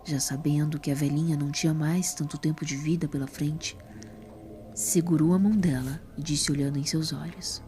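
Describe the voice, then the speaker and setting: Low-pitched Brazilian woman narrating, mellow. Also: steady tone, normal speed, a little hiss or hum. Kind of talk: scripted story narration.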